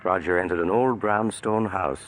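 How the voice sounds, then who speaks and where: A man giving measured, ominous scripted narration for a 1940s radio drama. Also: low voice, background noise. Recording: old radio broadcast with music.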